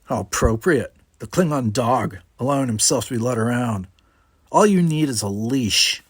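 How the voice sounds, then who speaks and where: A man's voice: medium pitch, expressive, normal speed, slightly rough, dry recording. A man voicing a belligerent young alien cadet in an audiobook, taunting and aggressive. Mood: sneering. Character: belligerent young male alien Brickar cadet, sneering and relentless.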